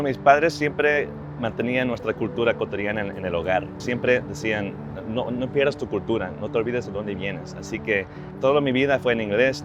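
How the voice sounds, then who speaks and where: Ecuador-born man in his thirties, low-pitched and sincere, restarts his phrases, clear music bed underneath. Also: dull audio. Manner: earnest, with frequent restarts. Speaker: social media strategist. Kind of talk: reflective personal interview.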